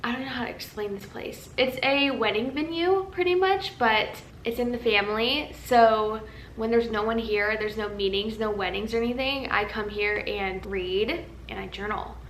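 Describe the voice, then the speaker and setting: A young woman vlogging in a casual, chatty way, tired and a little raspy from a nap, in a large empty house. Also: on the high side, normal speed, fairly bright tone, echoey room, slight background noise, rough recording. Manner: chatty, rambling, earnest. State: tired, slightly raspy from just waking up. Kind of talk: casual chat to camera. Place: large empty house used as a wedding venue.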